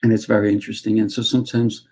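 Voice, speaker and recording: A man who teaches martial arts, speaking in a calm, soft guiding way as a podcast guest. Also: on the low side, very fast, slightly rough. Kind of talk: conversational interview talk.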